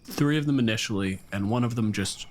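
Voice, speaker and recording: A man's voice: lowish voice, fairly quick. A man improvising narration as game master of a tabletop game, casually explaining as he goes. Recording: clear background noise.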